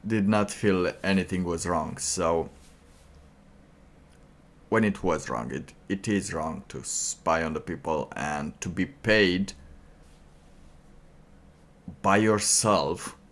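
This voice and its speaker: Male streamer, deep register, unhurried and halting, thinking aloud in loose, trailing phrases. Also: fairly bright tone. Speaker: livestreamer. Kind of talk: casual livestream monologue.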